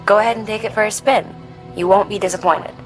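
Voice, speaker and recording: An actress playing a confident, encouraging technical adviser, explaining equipment in a video game tutorial. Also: female voice, mid-range voice, steady tone, fast, faint music behind, close to an everyday voice, low-quality recording. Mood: encouraging, assured. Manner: explanatory, assured.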